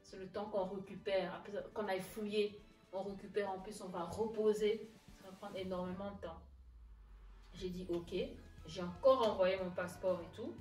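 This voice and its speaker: A woman's voice: medium pitch, steady tone, moderate pace, darkish tone. A woman interview guest casually telling her own story, often acting out past conversations in reported speech. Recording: echoey room, distant mic, music underneath.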